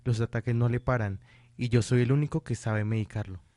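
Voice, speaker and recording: A man acting an earnest, worried doctor in a student radio drama, speaking gravely and deliberately. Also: medium pitch, steady tone, mellow, dry recording, natural voice. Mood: grave, urgent. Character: earnest doctor and worried father plotting justice.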